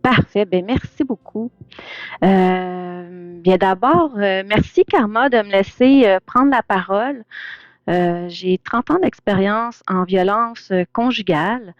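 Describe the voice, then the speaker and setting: A woman's voice: medium pitch, takes it slowly, no room sound. A middle-aged woman joins a livestream over a remote call. She talks hesitantly and apologetically, with many "euh" fillers. The audio is dull and lo-fi, and very dry. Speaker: invited guest, domestic violence professional. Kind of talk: casual livestream guest talk.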